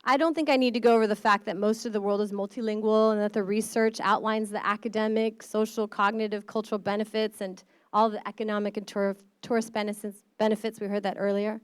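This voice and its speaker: A woman's voice: medium pitch, level tone, fast talker, few pauses, clean tone, no echo. A middle-aged woman from the American Midwest, a state board of education member, giving an earnest, passionate conference speech. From Midwestern United States.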